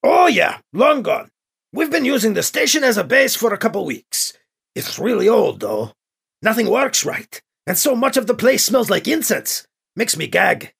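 A man's voice: sits high, big pitch swings, normal speed, vocal fry, a bit bright, slightly rough, voice pushed up, heavily acted. A man voicing a brusque, blustery male military commander in an audiobook, with a barking, mocking delivery. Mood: breezy, dismissive. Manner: barking, clipped. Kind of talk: audiobook character acting.

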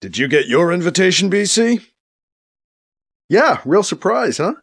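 A man voicing a wry, sarcastic male character in a story, halting and joking through his unease. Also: medium pitch, big pitch swings. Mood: half-hearted joking. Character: wry, sarcastic man, uneasy but putting on good cheer.

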